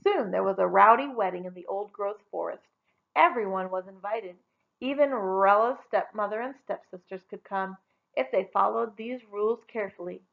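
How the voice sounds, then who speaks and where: Expressive woman storyteller with creaky voice. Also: mid-range voice, moderate pace, phone-quality sound. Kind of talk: expressive children's story read-aloud.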